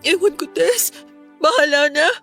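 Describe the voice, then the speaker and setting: An actress playing an ashamed, meek teenage schoolgirl in a Filipino radio drama, soft-spoken and hesitant. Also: extremely high pitch, expressive, unhurried, soft background music, exaggerated voice. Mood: downcast, resigned.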